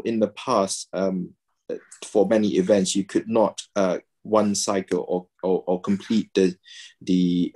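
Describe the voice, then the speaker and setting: Guest, 30s man from Malaysia, very deep voice, halting delivery, video call. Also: mellow, husky. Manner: hesitant, many fillers and restarts. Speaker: guest, pediatrician and game player. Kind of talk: casual video-call interview. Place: home room, indoors.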